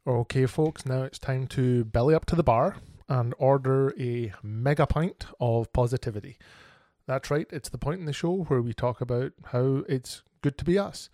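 Guy hosting a solo podcast, earnest and open, kinda gravelly, dry close sound. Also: medium pitch, normal speed, mellow, no room sound. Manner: earnest, reflective and candid. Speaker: podcast host.

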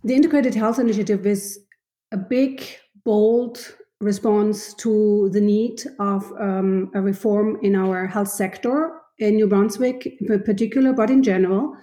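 A woman from Germany with a German accent, a university vice president answering interview questions in a thoughtful, measured way with frequent pauses. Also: medium pitch, steady tone, dark tone, echoey room. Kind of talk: podcast interview.

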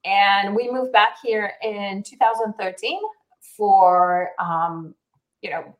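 A woman's voice: medium pitch, low-quality recording. Guest realtor, woman in her forties, light South African accent, chatty and easygoing, laughs often. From Israel. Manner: chatty, laughs easily, many fillers. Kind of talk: casual interview answers.

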